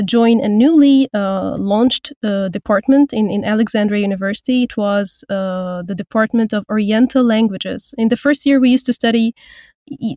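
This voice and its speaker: A woman in her thirties from Egypt, a voice actor and language teacher, speaking thoughtfully with frequent pauses and restarts in an interview. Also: medium pitch, a little mellow, dry recording, clean studio sound. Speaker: guest, voice actor and Turkish teacher. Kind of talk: casual interview.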